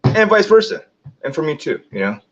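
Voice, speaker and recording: A man's voice: on the high side, very animated, fairly quick. A young man arguing defensively over voice chat, repeating his point and swearing. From United States. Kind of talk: heated casual argument over voice chat. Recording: dull lo-fi online audio.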